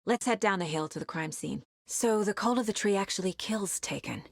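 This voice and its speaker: An actress playing a determined, wry federal agent investigating supernatural crimes in a video game, measured and matter-of-fact. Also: female voice, mid-range voice, expressive, creaky. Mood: serious, determined. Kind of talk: scripted game dialogue, investigative monologue.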